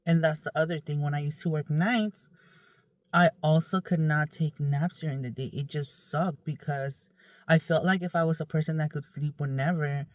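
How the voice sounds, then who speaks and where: A woman's voice: low-pitched, steady tone, moderate pace, no echo, studio quality. A woman vlogger talking casually to her viewers in a candid, rambling, conversational way.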